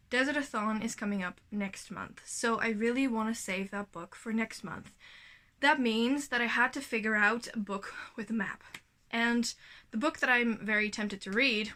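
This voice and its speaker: A woman's voice: medium pitch, sharp tone. A woman, giving a gushing, chatty reading update at home. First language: Dutch. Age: 20s. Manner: chatty, gushing, self-correcting. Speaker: book vlogger. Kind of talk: casual reading vlog update.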